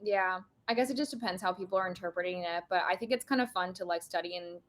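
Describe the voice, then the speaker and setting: A woman's voice: on the low side, quick pace. A woman guest in a casual podcast chat, talking in long rambling runs and laughing often.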